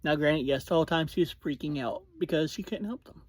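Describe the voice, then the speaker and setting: A reviewer giving a casual spoken recap, speaking informally to listeners in short, halting phrases and often self-correcting. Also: medium pitch. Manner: informal, addresses listeners directly. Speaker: podcast reviewer.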